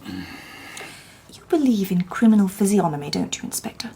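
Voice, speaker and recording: An actress playing a shrewd, composed Victorian woman detective who narrates the story, with soft, measured diction. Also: mid-range voice, huge pitch swings, breathy edge, exaggerated voice. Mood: calm. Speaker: lady detective narrating and speaking. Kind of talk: radio drama narration and dialogue.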